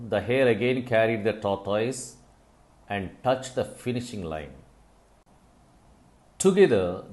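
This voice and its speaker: Male storyteller for scripted moral fable: lowish pitch, very unhurried, deliberate and instructive. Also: darkish tone.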